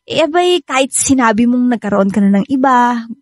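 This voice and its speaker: An actress playing a frank, pushy young best friend with a Filipino accent in a radio drama. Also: female voice, on the high side, animated, a little fast, no room sound, studio quality, close to an everyday voice. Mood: breezy, teasing.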